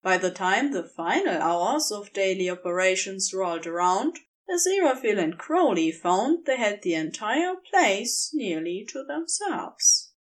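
A woman's voice: medium pitch, sing-song, measured pace, few pauses. A woman reading scripted fiction as the narrator, telling a story.

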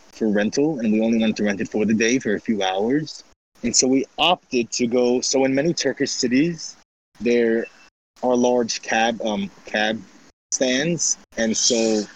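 Armenian-background man, measured travel recap with restarts, mid-pitch. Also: a little hiss or hum, poor audio. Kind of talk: casual recounting of a trip.

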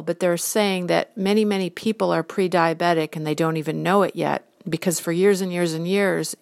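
A woman's voice: lowish voice, gravelly. A woman in her fifties, an art model, talking earnestly in a rambling casual monologue, listing things one by one. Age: 50s.

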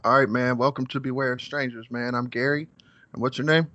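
A man in his thirties raised in West Virginia, hosting a podcast with a nervous, halting manner and lots of "man" and "you know what I'm saying". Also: mid-range voice, steady tone, bright, forceful tone, no room sound, lo-fi sound. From West Virginia, USA. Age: 30s. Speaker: podcast host. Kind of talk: casual interview.

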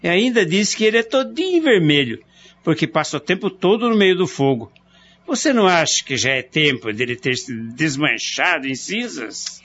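A man's voice: on the high side, very animated, normal speed, fairly bright tone, muffled recording, a little hiss or hum. A man playing a lecturing, sarcastic rich husband. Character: wealthy husband Noronha, middle-aged, argumentative and lecturing. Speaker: radio drama actor.

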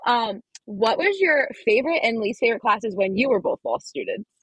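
A woman student interviewer asks casual questions in a playful, light way. Her voice is husky and sits high. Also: lively intonation, normal speed, poor audio.

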